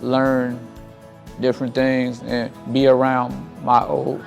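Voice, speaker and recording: Gravelly young man, earnest. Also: mid-range voice, monotone, takes it slowly. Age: 20s. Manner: simple, earnest testimonial. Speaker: program student. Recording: soft music underneath.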